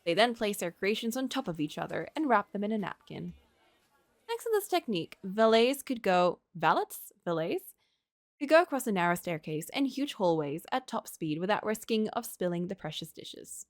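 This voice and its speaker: A woman game streamer reading a visual novel aloud in an expressive storytelling way, with casual asides. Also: mid-range voice, moderate pace, dry recording, studio quality.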